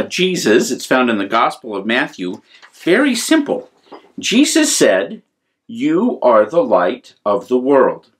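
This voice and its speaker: A man in his fifties, a priest hosting a children's story time, talking informally and devotionally in simple short phrases. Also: mid-range voice. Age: 50s. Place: small furnished room at home, indoors.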